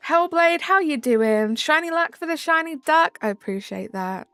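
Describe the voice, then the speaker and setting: A woman's voice: high-pitched, animated, normal speed, bright, forceful tone. Chatty southern English woman streamer. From Southern England. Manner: chatty, answers chat with fillers like "um" and "like". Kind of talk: casual live-stream chat reading comments.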